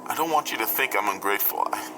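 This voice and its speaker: A man's voice: lowish voice, animated, quick pace, background noise. An actor playing an anxious, frightened father flying a small plane, speaking hesitantly and with strain in old-time radio drama style. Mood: strained, apologetic.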